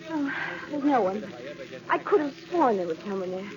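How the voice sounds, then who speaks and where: An actress playing a frightened, melodramatic wife haunted by dread in a vintage radio suspense drama. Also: female voice, fairly high pitch, expressive, normal speed, a crowd in the background, close to an everyday voice. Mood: anxious dread. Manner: anxious, melodramatic. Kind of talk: 1940s radio suspense acting. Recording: dull old radio recording.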